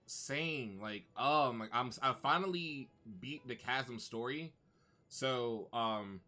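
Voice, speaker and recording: Bright, slangy man streaming. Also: medium pitch, slow pace. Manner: informal, friendly, slangy. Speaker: game livestreamer, host. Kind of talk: casual gaming stream chat. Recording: faint game music behind. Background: game music.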